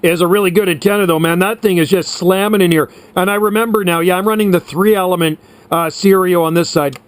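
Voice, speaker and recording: A man's voice: high-pitched, steady tone, quick pace, smooth, no room sound. A man chatting casually and enthusiastically as a CB radio operator, encouraging the other operator. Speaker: CB radio operator, video host. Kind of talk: casual chat over CB radio.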